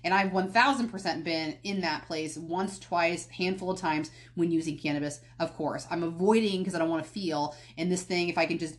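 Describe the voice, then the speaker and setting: A woman with a fairly low voice hosts a solo podcast, speaking in a candid, loose way. She rambles and goes off on small tangents. She often catches herself and restarts a phrase. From United States. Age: adult, past college. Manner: candid, rambling, self-correcting. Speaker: podcast host. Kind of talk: casual solo podcast talk.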